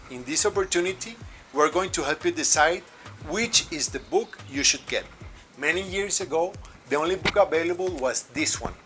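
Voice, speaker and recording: A Colombian man with a Spanish accent talks in a bright, forceful voice that sits a little high. He explains things earnestly and carefully, like a birding guide, with faint music playing behind him. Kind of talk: informal review to camera.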